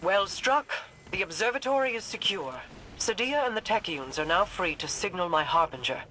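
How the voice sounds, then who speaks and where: A woman's voice: mid-range voice, lively intonation, normal speed, pushed and bright. A woman playing a regal, commanding queen in video game mission dialogue, formal and composed. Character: commanding, regal queen directing her warriors. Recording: clearly noisy game ambience.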